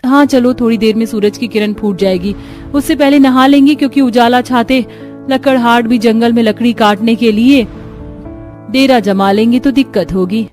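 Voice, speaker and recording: A woman's voice: on the high side, moderate pace, darkish tone, faint music behind, natural voice. A woman voicing a resourceful, dutiful young village daughter-in-law in a cartoon story. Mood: concerned, brisk.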